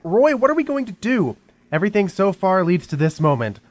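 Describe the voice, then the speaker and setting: A man's voice: high voice, big pitch swings, a little fast, faint music behind. An actor playing an exasperated, worried male sidekick in a comic radio drama, earnest and scolding. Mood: nervous scolding.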